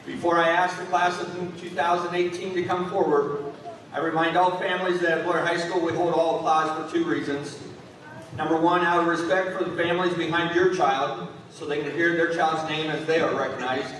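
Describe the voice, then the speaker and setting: A man at a graduation podium reads formal announcements and graduates' names one by one in a measured, deliberate way. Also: fairly high pitch, level tone, fairly bright tone, slight background noise. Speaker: school official reading graduate names. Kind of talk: formal announcement and name reading. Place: large school gymnasium. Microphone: podium microphone, heard from the back of the room. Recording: echoey gym, heard from far off.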